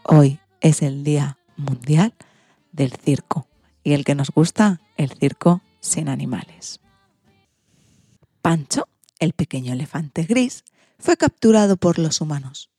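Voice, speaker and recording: Low, mellow Spanish woman storyteller. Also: lively intonation, slow, breathy edge, no room sound, studio quality, soft background music. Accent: Spain. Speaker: podcast host and storyteller. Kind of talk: scripted children's story narration.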